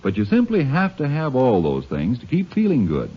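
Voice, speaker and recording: A male radio announcer reading a chatty, folksy 1950s sales pitch. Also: wildly swooping pitch, normal speed, breathy edge. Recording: dull old radio recording.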